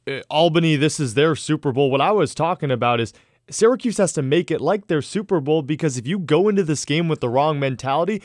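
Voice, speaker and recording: A man on sports talk radio with a fairly high voice, talking in a measured, analytical way. The studio sound is dry and close. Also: lively intonation, darkish tone, dry recording. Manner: measured, analytical, some filler words.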